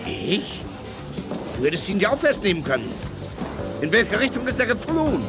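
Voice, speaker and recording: An actor playing a brusque, excitable police officer in a 1980s German radio play, barking out orders. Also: male voice, high-pitched, normal speed. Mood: flustered. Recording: music behind studio radio drama.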